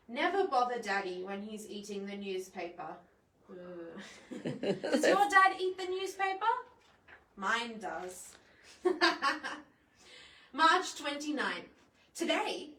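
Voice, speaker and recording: A woman reading a children's picture book aloud in a playful, expressive way, adding chatty asides for young listeners. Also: a little high, slow pace, long pauses. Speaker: library storytime presenter. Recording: echoey room, distant microphone.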